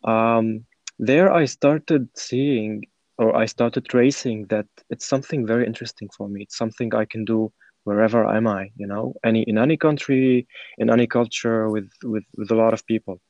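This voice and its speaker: Podcast guest: man, 20s, lowish voice, reflective and halting, dry lo-fi sound. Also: fairly even intonation, a little mellow, no echo. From Syria. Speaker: podcast guest, former startup program participant. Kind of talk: conversational personal storytelling in an interview.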